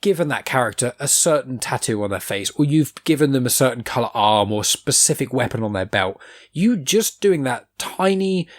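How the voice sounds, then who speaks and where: A young man hosting a podcast, rambling in animated casual chat and swearing now and then. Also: medium pitch, normal speed. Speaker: podcast host.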